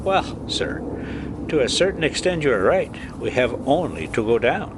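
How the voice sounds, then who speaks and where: A man's voice: mid-range voice, sing-song, moderate pace, background noise, pitched above the performer's own voice, natural voice. A man voicing a doubtful, anxious young nephew in an adventure storybook, questioning and protesting. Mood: amazed, doubtful.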